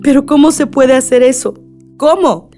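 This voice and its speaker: A woman's voice: high voice, normal speed, mellow, faint music behind, close to an everyday voice. A woman playing a grieving, bitter, defiant mother in a radionovela, emotional and protesting. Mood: weary sorrow. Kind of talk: radionovela acting.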